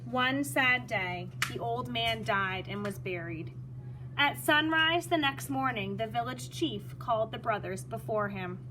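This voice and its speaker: A woman reading a children's story aloud in an expressive, teacherly manner, pausing to explain words. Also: fairly high pitch, noisy background.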